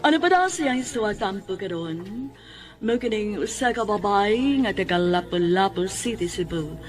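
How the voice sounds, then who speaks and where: A woman's voice: medium pitch, animated, normal speed. A Filipino woman with a Cebuano accent giving scripted narration for a radio drama. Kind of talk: scripted narration introducing a letter sender. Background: music.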